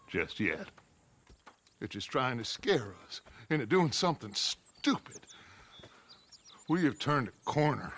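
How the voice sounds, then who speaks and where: An actor playing a persuasive Old West gang leader, measured and oratorical, keeping his composure. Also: lowish voice, fairly bright tone, heavily acted. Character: calm, persuasive male leader of an outlaw gang. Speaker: actor in a video game cutscene. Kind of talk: cinematic western game acting.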